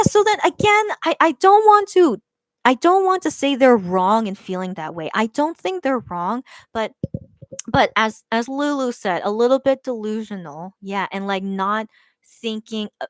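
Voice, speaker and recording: A woman hosting a casual livestream, talking straight to her chat at a middle pitch. She sounds earnest and a little careful, restarting her sentences and tagging them with "right?" The room is dry, with no echo. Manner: hesitant, many restarts and "right?" tags. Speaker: livestream host reading chat.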